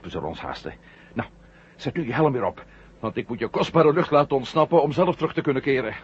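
An actor playing a reassuring, insistent astronaut commander in a 1970 radio drama. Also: male voice, medium pitch, expressive, fast, breathy edge. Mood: brisk, encouraging. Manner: reassuring, insistent, repeats himself. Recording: dull, noisy 1970 radio drama recording.

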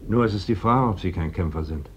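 An actor playing a bitter Republican worker in a radio play, probing with pointed, insistent irony. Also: male voice, sits low, fast, natural voice. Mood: ironic, pointed. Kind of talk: German radio play acting. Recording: dull, noisy old radio recording.

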